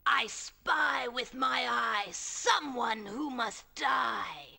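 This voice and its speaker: Menacing game villainess, high gravelly voice, very slow drawn-out threats, bright tone. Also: female voice, full character voice. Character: menacing female villain minion.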